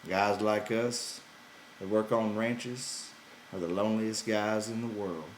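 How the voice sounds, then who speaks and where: Man acting a gruff, weary slow-talking ranch hand. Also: lowish voice, fairly even intonation, echoey room, slight background noise, poor audio. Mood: rhythmic, reciting. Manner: gruff, exasperated, folksy ungrammatical speech. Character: gruff, weary, irritable male ranch hand looking after companion.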